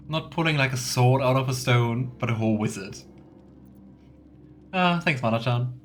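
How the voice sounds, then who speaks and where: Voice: mid-range voice, unhurried, a little hiss or hum. A let's player giving casual, musing commentary on a game and reading dialogue options aloud. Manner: musing, chatty asides.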